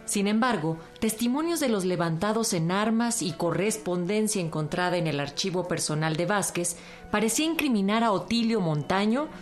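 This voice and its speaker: A woman's voice: medium pitch, expressive, moderate pace. A woman reading scripted historical narration.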